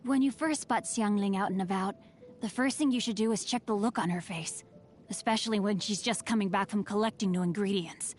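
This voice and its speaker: A woman voicing a bookish, sly teenage boy martial artist from a video game, speaking in formal, articulate, measured phrases. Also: mid-range voice, darkish tone, soft background music, lightly acted. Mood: poised, wry. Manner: formal, articulate, measured, old-fashioned wording. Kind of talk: scripted video game character voice lines.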